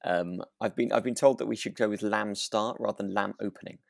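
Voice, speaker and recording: A man's voice: on the low side, fairly even intonation, fairly quick, gravelly, dry recording, clean studio sound. A man co-hosting a podcast, recapping a radio drama in a casual, rambling way with frequent tag questions. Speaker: podcast co-host.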